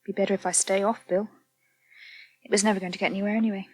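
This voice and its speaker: A woman's voice: mid-range voice, level tone, rapid-fire, darkish tone, dry recording, close to an everyday voice. An actress playing a withdrawn, shaken young woman in a naturalistic radio soap, hesitant and subdued. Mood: resigned.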